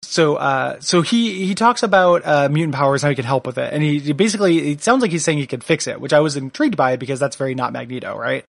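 A man's voice: a little high, lively intonation, quick pace, gravelly, hardly any pauses, no echo, studio quality. A man co-hosting a podcast, chatting casually and riffing with loose, swearing enthusiasm. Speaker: podcast co-host. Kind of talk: casual chat.

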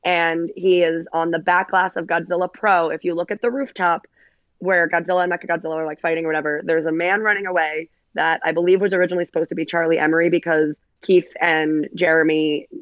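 A raspy-voiced woman, a podcast guest chatting casually, slightly hoarse. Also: lowish voice, steady tone, fast, no echo, lo-fi sound. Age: 30s. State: recovering from covid, hoarse. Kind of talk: casual chat.

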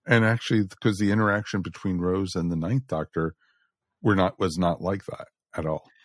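A man's voice: low-pitched, fairly even intonation, normal speed, dry recording, clean studio sound. A man chatting casually as a panelist on a review podcast, giving his opinions in everyday talk. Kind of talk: casual chat.

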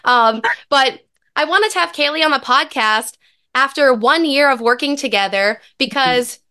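Bright, raspy-voiced woman podcast host. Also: high-pitched, normal speed. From American Midwest. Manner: upbeat, chatty. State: raspy, recovering from lost voice. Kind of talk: casual podcast chat.

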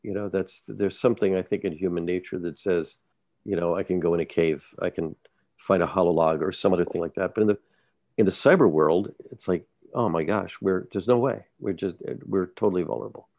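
Deep-voiced male journalist, casual podcast talk, halting with restarts, dry sound. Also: creaky, a little mellow, dry recording. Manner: halting, many restarts and repeated words.

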